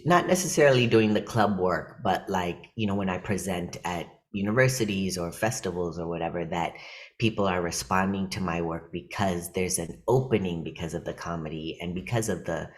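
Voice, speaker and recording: A man from California giving a casual, reflective talk to an online workshop over a video call, loose and conversational with fillers and restarts. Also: deep voice. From California, USA. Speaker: workshop presenter and comedian.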